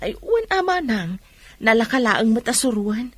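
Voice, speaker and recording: A woman's voice: fairly high pitch, sing-song, moderate pace, no room sound. A woman playing a worried mother in a radio drama, speaking in a homely, conversational way. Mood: matter-of-fact. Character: worried mother who lives alone.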